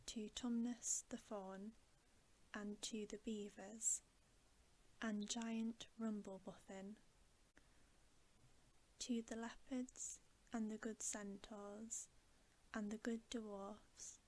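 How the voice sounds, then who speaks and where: Hushed, slow-reading woman, often whispering. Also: medium pitch, low-quality recording. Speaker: ASMR storyteller reading a children's fantasy book aloud. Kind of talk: ASMR bedtime story reading, scripted narration. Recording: steady background hiss.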